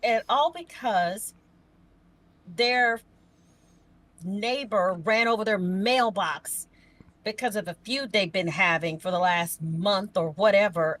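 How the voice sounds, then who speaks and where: A woman's voice: mid-range voice, fairly bright tone. A woman chatting casually as a podcast co-host and emergency dispatcher, with a folksy, emphatic manner that repeats phrases for effect.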